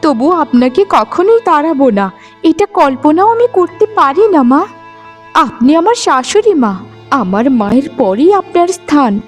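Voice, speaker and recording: Woman playing a devoted young sister-in-law, high voice, earnest, soothing, cartoon melodrama, soft music behind. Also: big pitch swings, moderate pace. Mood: hurt, respectful.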